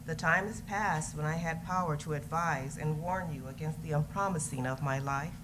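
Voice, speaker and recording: Devoted, passionate lover played by a woman, deep female register, staged letter reading, clearly noisy recording. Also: moderate pace, close to an everyday voice. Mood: tender, anxious. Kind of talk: readers theater love letter. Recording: noisy live event recording.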